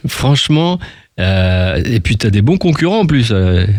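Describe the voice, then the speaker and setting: A man's voice: on the low side, big pitch swings, a little slow, a little mellow, dry recording, studio quality. A man hosting a casual radio interview, effusive and teasing, chatting in slangy, hyped-up language. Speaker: radio host interviewing a singer guest. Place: radio studio.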